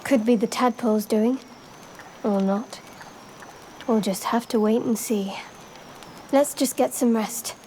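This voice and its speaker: A woman's voice: a little high, slow pace, pauses often, dark tone, low-quality recording. A woman playing a tired, guarded adventurer in a video game, conversational, dry and understated. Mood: tired, wry. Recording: faint background noise.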